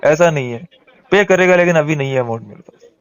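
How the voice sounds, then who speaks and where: A man with a somewhat high-pitched voice argues on a recorded phone call. He sounds like a borrower pleading his case, insistently repeating his points in a heated exchange. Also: lively intonation, quick pace, smooth, no room sound. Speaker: borrower on a debt collection call.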